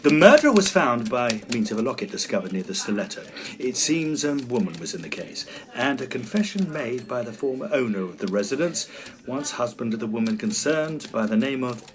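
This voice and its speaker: An actor playing an earnest, excitable Edwardian gentleman ghost hunter who tells his tale in formal, theatrical old-fashioned diction. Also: mid-range voice, fairly quick, slight background noise, close to an everyday voice. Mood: grave. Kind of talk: dramatised first-person period storytelling. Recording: violin music behind the voice.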